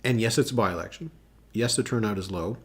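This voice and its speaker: Low-voiced man thinking aloud. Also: fairly quick, a bit bright. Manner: musing, chatty, thinks aloud with many fillers. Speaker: political analyst hosting a livestream. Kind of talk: casual live analysis reacting to chat.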